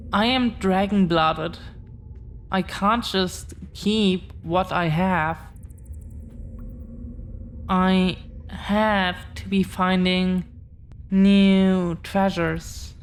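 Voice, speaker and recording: Slow, halting, tender dragon girlfriend, acted by a woman. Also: medium pitch, slight background noise. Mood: hesitant confession. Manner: hesitant, halting, tender, confessional. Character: affectionate, earnest dragon-blooded girlfriend confessing her secrets. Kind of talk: intimate scripted audio roleplay, addressed to the listener.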